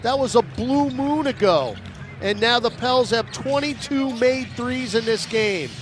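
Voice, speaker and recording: An American man calling live basketball on the radio in punchy, clipped phrases that can burst into shouts. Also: extremely high pitch, expressive, unhurried, music in the background. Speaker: radio play-by-play announcer. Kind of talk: excited live basketball commentary. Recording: noisy live arena broadcast. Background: arena noise and basketball bounces.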